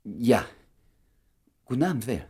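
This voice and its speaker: A male doctor in a radio drama, with a slightly husky voice at an ordinary pitch. He pries with hesitant questions, then acts as if none of it matters to him. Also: sing-song, a little slow, darkish tone, exaggerated voice. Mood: puzzled. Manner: probing, hesitant, falsely casual. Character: nosy, flustered male doctor, feigning indifference. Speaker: actor in a radio play. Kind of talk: German radio play acting.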